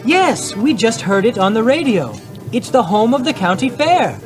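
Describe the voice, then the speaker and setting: A man's voice: extremely high pitch, big pitch swings, normal speed, soft background music. A man playing an inquisitive Greek visitor on a road trip in a scripted English-learning radio drama. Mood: interested. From Greece. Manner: inquisitive, simply worded.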